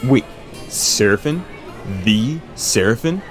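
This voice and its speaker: A man's voice: medium pitch, lively intonation, takes it slowly, soft background music. An actor playing a dutiful, officious male guard in a fantasy audio drama.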